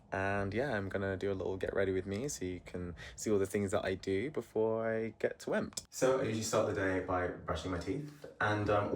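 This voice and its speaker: A man's voice: sits low, breathy edge. A young man, a musical theatre student, narrating his week in a chatty, upbeat vlog style with self-deprecating asides.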